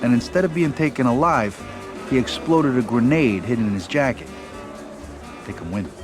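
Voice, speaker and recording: A man's voice: mid-range voice, normal speed, natural voice. An actor playing a tough, uneasy male mob lieutenant in a video game. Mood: worried, cynical. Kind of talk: video game cutscene acting. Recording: music under the voice.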